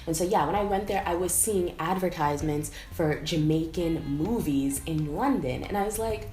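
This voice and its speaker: A young American woman talking casually to camera in a vlog, chatty and animated, with lots of "like" fillers. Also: low voice, moderate pace, faint music behind. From United States. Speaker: vlogger. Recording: echoey room, faint background noise.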